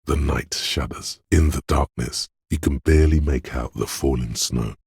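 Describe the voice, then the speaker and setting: The measured inner voice of a detective's reasoning in a video game. It is extremely low and husky, with a heavy creak. The narration is precise and literary, addressed straight to the player. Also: male voice, a little mellow, no echo, studio quality, exaggerated voice. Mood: hushed, contemplative. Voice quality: very deep, gravelly, husky. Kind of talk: scripted game narration in second person.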